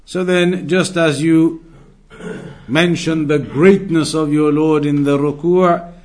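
A man teaching in a religious lecture, speaking in a deliberate, didactic way and repeating key phrases for emphasis. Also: fairly high pitch, steady tone, darkish tone. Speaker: Islamic teacher giving a religious lesson. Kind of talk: religious lecture, explaining Arabic texts in English.

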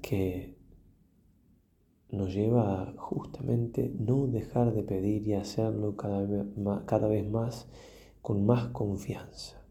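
Slow, mellow priest leading meditation. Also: male voice, fairly low pitch, steady tone. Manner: solemn, reflective, with long pauses and softly trailing phrases. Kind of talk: guided prayer meditation.

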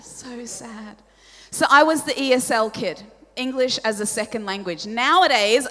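Australian woman preacher, 40s, raised speaking Polish, lively storyteller, slightly high voice, long church reverb. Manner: animated storytelling, self-deprecating, asks the audience questions. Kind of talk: sermon. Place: large church hall.

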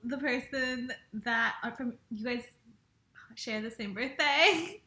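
A woman co-hosting a casual podcast, chiming in with quick comments and loud laughs. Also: on the high side. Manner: chatty, chimes in, loud laughs. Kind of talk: casual chat. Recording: roomy echo.

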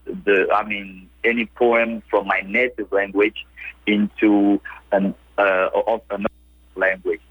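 Husky man, halting speech. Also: fairly low pitch, level tone, a bit bright, dry recording, poor audio. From French-speaking West Africa. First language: Anyi (Akan language). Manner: hesitant, halting with fillers. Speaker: guest poet. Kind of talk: casual interview answers.

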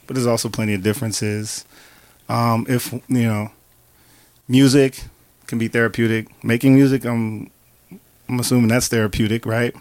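A man interviewing a guest in a relaxed, conversational way. He often murmurs agreement while she talks. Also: fairly low pitch, dry recording.

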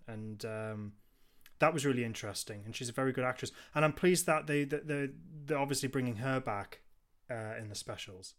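A man's voice: mid-range voice, fairly bright tone. A man co-hosting a podcast, chatting casually and enthusiastically, often repeating words as he goes. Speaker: podcast co-host. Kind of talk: casual review chat.

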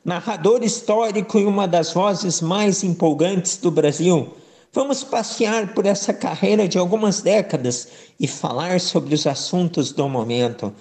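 Upbeat radio sports host, man, southern Brazilian accent, high voice. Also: unhurried, darkish tone, slightly rough. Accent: southern Brazilian Portuguese. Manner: upbeat announcer, formal introductions.

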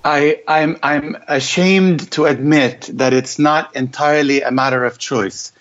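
Reflective man, slightly high, lo-fi audio. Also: steady tone, fairly bright tone. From Egyptian-Lebanese family. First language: Arabic. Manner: measured, reflective, some hesitant restarts. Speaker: poet, interview guest. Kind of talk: poetry reading, then conversational answers. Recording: dull lo-fi audio.

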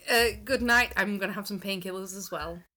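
Female panellist, mid-pitched and bright, chatting informally on a podcast. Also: brisk. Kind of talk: casual chat.

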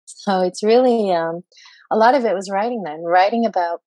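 Brief: woman panel guest, a poet, dark mellow tone, halting delivery full of "um"s, dry close sound. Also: medium pitch, no echo, poor audio. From grew up in Saudi Arabia and the US. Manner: hesitant, many fillers and restarts. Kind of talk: panel discussion.

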